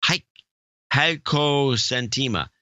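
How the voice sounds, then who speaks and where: A man reads donor names in a slow, unhurried way, his low voice carrying a bright, forward edge. He stops to puzzle over pronunciations and throws in quick jokes. The sound is dry and a little dull. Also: dry recording. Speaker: podcast co-host reading donor names. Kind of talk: casual chat.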